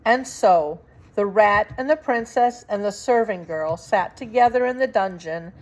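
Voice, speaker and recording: A woman reading a children's story aloud as narrator, with dramatic, deliberate phrasing. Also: mid-range voice, low-quality recording. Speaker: storybook reader.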